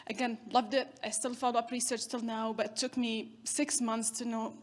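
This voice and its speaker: Chatty keynote woman, higher voice. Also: level tone, moderate pace. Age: 30s. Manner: chatty, storytelling with self-deprecating humor. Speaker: keynote speaker. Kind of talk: conference keynote talk.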